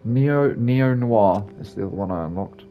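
A man's voice: fairly low pitch, animated, moderate pace, narrow, tinny audio, slight background noise. A man giving casual, rambling game commentary as the host of a gaming video. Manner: rambling, offhand.

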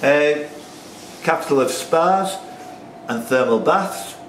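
Animated quizmaster in a roomy pub. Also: male voice, a little high, slow pace, distant mic. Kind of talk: reading quiz questions with banter. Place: pub, indoors among a group of players. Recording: roomy pub recording, off-mic, faint noise.

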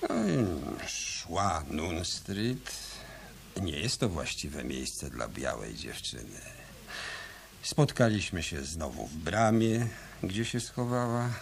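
Cocky streetwise tough guy, gravelly and slow, swings up and down, hissy old tape. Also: deep voice, sing-song, exaggerated voice. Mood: cocky storytelling. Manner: brash, cocky, slangy. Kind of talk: 1990s radio drama acting.

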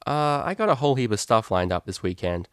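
A playful young mare DJ from a storybook, voiced by a man, easygoing and slangy. The pitch is lifted a little, and the sound is dry and close. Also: very animated, fairly quick, clean tone, dry recording, natural voice. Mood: casual. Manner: slangy, casual. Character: laid-back, playful young female unicorn DJ.

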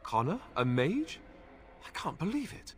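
A man's voice: a little high, wildly swooping pitch, a little slow. An actor playing a male companion in a fantasy video game. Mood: dismayed disbelief.

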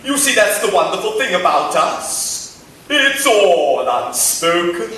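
An actor playing an overwrought, stiff-upper-lipped wounded officer with a clipped upper-class English accent in a stagey radio romance parody. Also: male voice, sits high, very animated, bright, forceful tone, exaggerated voice. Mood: earnest, overwrought. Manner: overwrought, stagey, halting dramatic delivery. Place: on a theatre stage. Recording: roomy theatre stage, distant, faint noise.

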